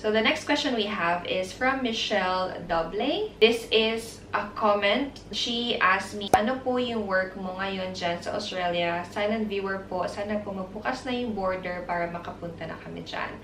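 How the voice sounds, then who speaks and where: A woman's voice: mid-range voice, normal speed, few pauses, noisy background. Chatty Filipino woman in her twenties. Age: 20s. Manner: chatty, mixing Tagalog and English, giggly asides. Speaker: vlogger. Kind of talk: casual Q&A vlog. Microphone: camera mic. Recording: roomy sound, mic at a distance.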